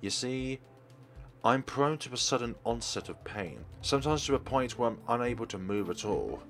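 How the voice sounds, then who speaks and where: A man's voice: mid-range voice, normal speed, a bit bright, soft background music, close to an everyday voice. A man voicing a regretful, self-deprecating old man with formal phrasing, reading visual novel dialogue aloud. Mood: grave, explanatory.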